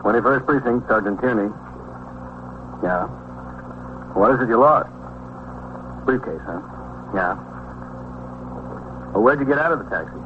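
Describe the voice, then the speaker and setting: An actor playing a laconic desk sergeant handling routine calls in a 1950s radio drama. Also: male voice, mid-range voice, animated, measured pace, pauses often, lightly acted. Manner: laconic, procedural. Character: unhurried, routine male desk sergeant on phone. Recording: tinny, clearly noisy old radio recording.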